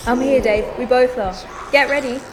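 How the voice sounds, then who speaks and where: Woman acting level-headed wry young gal, high pitched, big echo, music behind her. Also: fairly even intonation, moderate pace, background noise, close to an everyday voice. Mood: urgent. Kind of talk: fan audio drama acting. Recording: long reverb, music behind.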